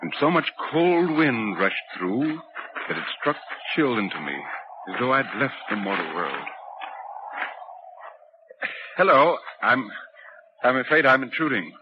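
An actor playing a curious, uneasy newspaper reporter telling a ghost story in 1940s radio drama style. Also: male voice, mid-range voice, sing-song, moderate pace, close to an everyday voice. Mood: curious, hesitant. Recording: dull, noisy 1940s radio recording.

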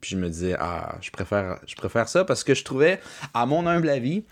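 A man's voice: medium pitch, very animated, fairly quick. A man with a Québécois French accent hosting a podcast, jokey and chatty, with fillers and restarts. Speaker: podcast host. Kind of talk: casual podcast chat.

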